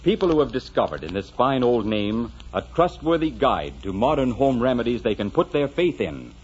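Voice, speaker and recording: A man's voice: medium pitch, big pitch swings, moderate pace. A man giving scripted 1940s radio narration as an announcer, dramatic and grandiose. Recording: dull, noisy 1940s radio recording, long reverb.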